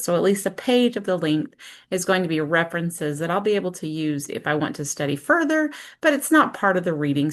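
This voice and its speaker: A woman teacher giving an informal screen-share walkthrough, thinking aloud step by step in a conversational way. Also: on the low side. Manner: conversational, explains step by step with self-questions and check-ins.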